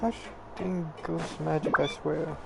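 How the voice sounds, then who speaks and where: Very creaky, muttering game player. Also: mid-range voice, steady tone, slow pace. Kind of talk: casual gameplay reaction. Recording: loud game music behind. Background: game audio and music.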